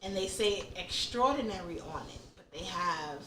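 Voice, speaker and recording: A woman's voice: lowish voice, lively intonation, moderate pace, fairly bright tone, reverberant, far from the mic, slight background noise, poor audio. Off-mic woman hosting casual chat. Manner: candid, swears freely, thinks aloud. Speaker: podcast host.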